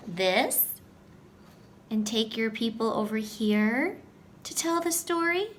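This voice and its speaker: A woman with a fairly high voice speaks very slowly, as a teacher does at story time. She addresses young children directly in a sing-song manner, with a faint noise behind her.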